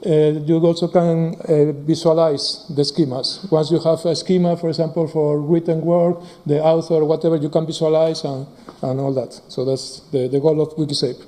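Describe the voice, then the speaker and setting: A man giving a live technical talk, speaking haltingly with many fillers. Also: fairly high pitch, level tone, dark tone. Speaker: conference presenter.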